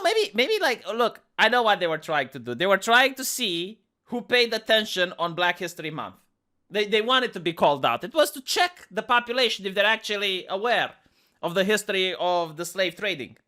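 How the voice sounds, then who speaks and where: Woman, Romanian accent, squeaky and bright, sarcastic commentary host with a lively up-and-down delivery. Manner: sarcastic, rambling, many restarts.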